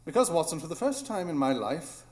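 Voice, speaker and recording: A man playing a solemn, assured, cerebral gentleman detective, speaking deliberately in a live radio drama. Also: a little high, big pitch swings. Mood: grave, assured.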